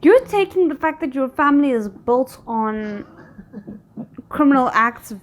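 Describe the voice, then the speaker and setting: High-pitched woman, wry reaction commentary. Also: expressive, unhurried. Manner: wry, rhetorical questions addressed to the characters. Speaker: reaction video host. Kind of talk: casual live reaction commentary to a drama series.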